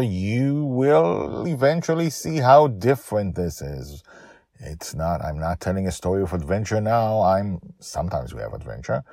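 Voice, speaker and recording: Deep-voiced animated male podcast host. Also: slightly rough, dry recording, studio quality. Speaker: podcast host and author-narrator. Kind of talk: animated podcast introduction and story narration.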